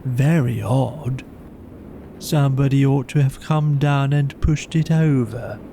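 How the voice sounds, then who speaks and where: A doleful, self-pitying old donkey from a children's storybook, acted by a man. The delivery is deadpan and very slow, with a mellow, gravelly tone. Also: medium pitch, slight background noise. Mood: gloomy.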